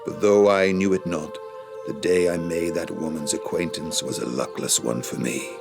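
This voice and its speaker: An ageing, worldly seducer in a period drama, looking back with rue. The voice is low and creaky, every phrase measured and literary, with music clearly playing behind it. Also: male voice, fairly even intonation. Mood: rueful. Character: ageing Casanova recounting his love affairs, worldly and rueful. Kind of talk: dramatised memoir narration.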